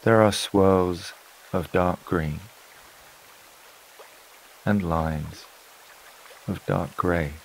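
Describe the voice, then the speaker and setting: A man narrating a scripted sleep meditation in a calm, soothing, unhurried way, with long pauses between phrases. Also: sits low, a little hiss or hum. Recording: water and rain sounds behind voice. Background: flowing stream, rain-like ambience.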